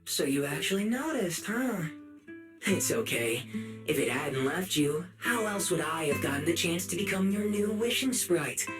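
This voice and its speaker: Sly, high-pitched sinister male sprite. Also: animated, normal speed, music in the background. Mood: sly false sympathy. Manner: mocking, falsely sympathetic, theatrical. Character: sinister, taunting male sprite posing as a caring advisor. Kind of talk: dramatic video game voice acting.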